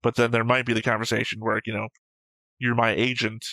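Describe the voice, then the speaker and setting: Casting: male co-host, forceful bright tone, argumentative and emphatic, casual swearing, close dry sound. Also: mid-range voice, level tone, a little fast, dry recording. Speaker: co-host of an anime reaction show. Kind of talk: casual opinionated discussion.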